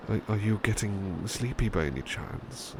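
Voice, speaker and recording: A man playing a devoted, tender butler in an intimate ASMR roleplay, speaking in a hushed, sleepy, halting voice that often slips into whispers. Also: fairly low pitch, expressive, noisy background, natural voice. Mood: drowsy, soothing. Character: devoted, tender male butler comforting a beloved at bedtime.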